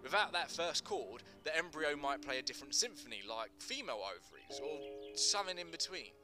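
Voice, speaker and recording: A man's voice: on the high side, very animated, brisk, over music. A male actor giving scripted, dramatic narration of a screenplay in terse stage directions.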